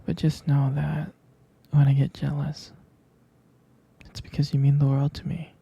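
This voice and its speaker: A man playing a jealous, protective, devoted boyfriend in an intimate ASMR roleplay, speaking tenderly and hesitantly in a breathy, hushed voice close to the microphone. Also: on the high side, completely flat delivery, a little slow, highs cut off, heavily acted. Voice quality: breathy, husky whisper with gravelly fry.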